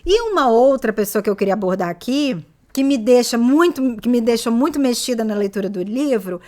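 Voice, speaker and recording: A woman hosting a casual book review, talking carefully and often pausing to search for words. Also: on the high side, expressive, darkish tone. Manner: hesitant, searching for words with fillers. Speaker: host.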